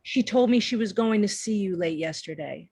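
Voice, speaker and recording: A woman acts an anxious receptionist in a drama read. She is snippy and impatient, with a mid-pitched voice. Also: expressive, moderate pace, darkish tone, husky. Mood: irritated, anxious.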